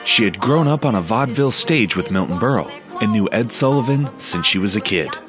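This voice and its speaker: A man reading scripted documentary narration in a measured, factual way. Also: lowish voice, expressive. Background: music.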